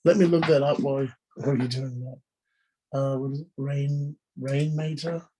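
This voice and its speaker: A man teaches drawing in an informal online lesson. His voice is hoarse and strained from illness, at a medium pitch. He explains each step casually as he draws, speaking over a video call. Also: fairly even intonation, normal speed, mellow, poor audio. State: hoarse, voice strained from being sick.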